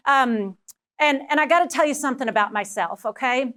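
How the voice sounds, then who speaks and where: Texan woman, keynote speaker, high voice, energetic and personal with the room. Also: a little fast. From Texas. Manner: energetic, personal, talks directly to the audience. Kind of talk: motivational presentation to a live audience.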